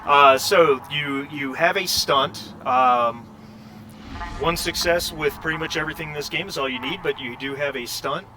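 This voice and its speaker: Bright-voiced man running a tabletop game. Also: fairly high pitch, moderate pace, a little hiss or hum, rough recording. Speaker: game master. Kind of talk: narrating a tabletop role-playing game, conversational.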